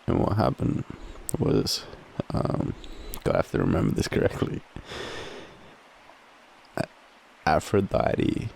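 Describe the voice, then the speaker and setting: Sleepy young aussie guy, really low soft crackly voice, rambly boyfriend roleplay, bit of background noise. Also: huge pitch swings, creaky. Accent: Australian. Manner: drowsy, halting, loose and conversational with slangy swearing. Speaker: audio roleplay performer playing a boyfriend. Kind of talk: intimate casual boyfriend roleplay, rambling storytelling. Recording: faint background ambient noise.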